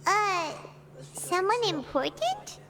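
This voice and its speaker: A woman voicing a small, childlike fairy companion in an anime game, squeaky, excitable and easily flustered, exclaiming as she goes. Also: slow pace, heavily acted. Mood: puzzled. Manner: exclamatory, talks of self in third person. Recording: game music behind.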